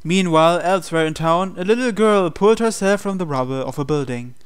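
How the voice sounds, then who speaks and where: A man's voice: high-pitched, a little fast, smooth, soft background music. A man reading a visual novel aloud in a dramatic storytelling narration style.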